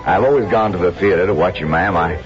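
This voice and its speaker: A man's voice: deep voice, quick pace, dull audio, natural voice. A man playing a gallant, earnest Confederate colonel with formal, courtly manners in a 1970s radio drama. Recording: band-limited vintage radio broadcast with music.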